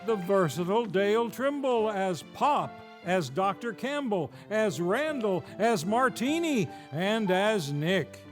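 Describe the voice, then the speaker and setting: High-pitched, unhurried male radio announcer. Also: very animated. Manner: showy, grandly enunciated. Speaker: host and announcer. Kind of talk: vintage radio show announcing, scripted. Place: theater stage, live show. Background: faint music.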